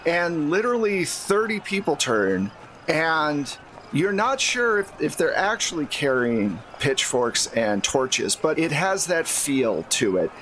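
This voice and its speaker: A man's voice: on the high side, very animated, takes it slowly, a bit bright. A man narrating an improvised tabletop game as game master, with a playful, teasing way of talking. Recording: faint background noise.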